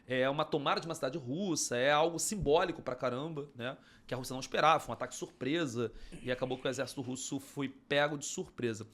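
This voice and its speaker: A man's voice: medium pitch, fairly bright tone. A man from Brazil co-hosting a news podcast, explaining current events in a conversational way. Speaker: podcast co-host. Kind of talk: casual news-discussion chat.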